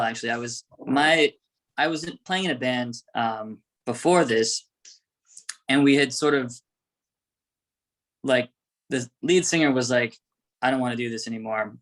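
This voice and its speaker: A man telling a story casually in an interview as a band musician, in a halting way, quoting himself as he goes. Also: mid-range voice, fairly bright tone.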